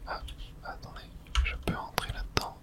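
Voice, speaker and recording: Male, breathy whisper, hushed, ASMR game commentary, short remarks, thinking aloud. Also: moderate pace. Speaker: video game streamer.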